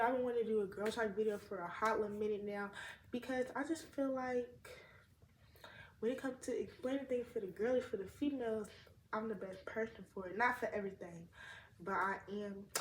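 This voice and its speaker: Teenage girl, slight lisp, chatty slang vlog talk, echoey room, light background noise. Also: fairly high pitch. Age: teens. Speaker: vlogger. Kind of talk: casual chat to camera. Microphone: tablet camera mic.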